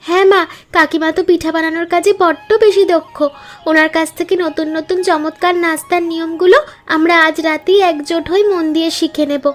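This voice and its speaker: Very high-pitched eager village girl voiced by a woman. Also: steady tone, a little fast. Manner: enthusiastic, reassuring. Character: eager, optimistic young village daughter. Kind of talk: cartoon story dialogue.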